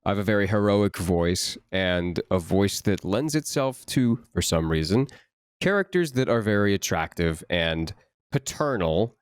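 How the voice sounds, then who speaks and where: A young man, a voice actor, chatting casually in an interview, animated and full of restarts. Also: lowish voice, a little slow, clear, resonant tone, no echo, studio quality. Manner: animated, many restarts, rising for emphasis. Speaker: voice actor guest.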